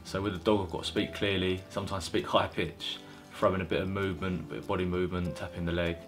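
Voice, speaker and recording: A man in his thirties with a monotone, mumbling way of talking, interviewed indoors about learning with his guide dog. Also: deep voice, fast, music in the background. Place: indoor room by blinds.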